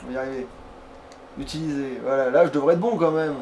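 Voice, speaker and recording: A man's voice: medium pitch, quick pace, noisy background. A man giving casual let's play commentary, rambling and playful, swearing now and then. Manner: rambling, playful, mildly foul-mouthed. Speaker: let's player, playing and hosting the video.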